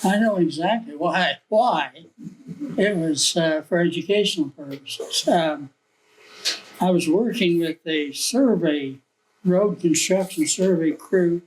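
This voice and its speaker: A man's voice: a little high, rough recording. A man in his nineties, a veteran guest, telling plain, joking stories of his youth. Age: 90s. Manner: joking, plain-spoken storyteller. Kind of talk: casual recollection.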